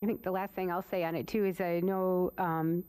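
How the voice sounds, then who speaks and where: A woman on a city commission speaking in a measured, earnest way at a public meeting. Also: lowish voice, little pitch movement, warm tone, smooth. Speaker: commission member. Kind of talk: meeting discussion.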